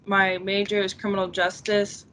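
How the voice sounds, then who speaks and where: A young woman student from West Virginia gives a brief introduction in a very flat, bright voice. A faint background hiss sits behind it. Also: mid-range voice, normal speed. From Martinsburg, West Virginia. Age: young adult.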